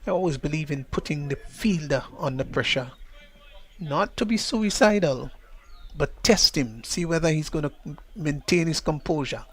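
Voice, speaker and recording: A Caribbean man giving live cricket commentary in a chatty, measured way, his voice jumping up when a play turns exciting. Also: fairly high pitch. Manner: chatty, measured, rises sharply on exciting plays. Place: commentary position outdoors at a cricket ground.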